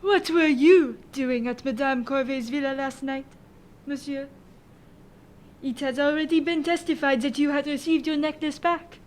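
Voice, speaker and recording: Young-sounding woman as high-voiced French police prefect. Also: lightly acted. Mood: measured, formal. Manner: formal, measured questioning. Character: stern, fair-minded French prefect of police presiding over a trial. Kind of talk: student radio drama acting.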